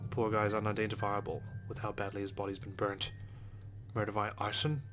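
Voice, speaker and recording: Fast, flat, low-voiced male narrator. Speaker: let's play host. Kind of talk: narration read aloud from a visual novel. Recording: music under the voice. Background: game music.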